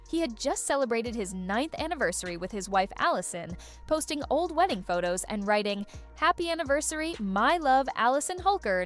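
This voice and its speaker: A woman reading scripted gossip-video narration in a dramatic, suspense-building way. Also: on the high side.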